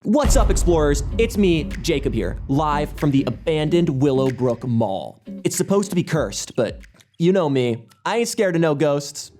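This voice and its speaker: An actor playing a brash, cocky urban-exploring YouTuber, delivering showy, hyped video intros in an audio drama. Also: male voice, a little high, very animated, normal speed, mellow, soft background music.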